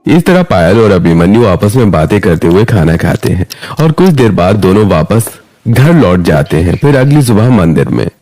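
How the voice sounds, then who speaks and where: A man's voice: fairly low pitch, sing-song, normal speed, warm tone. A man narrating a scripted moral story.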